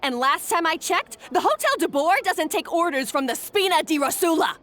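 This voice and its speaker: An actress playing a domineering head chef in a video game, imperious and scornful. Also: female voice, extremely high pitch, moderate pace, a bit bright, slight background noise. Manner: imperious, scornful, barking orders. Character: haughty, domineering female head chef, harsh boss.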